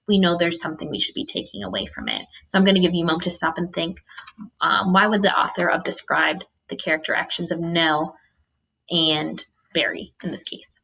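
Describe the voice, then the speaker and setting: A woman teacher reads a children's novel aloud in an expressive, instructive manner, pausing to explain to students. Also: on the low side, creaky, dull audio. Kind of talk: storybook read-aloud with teaching asides.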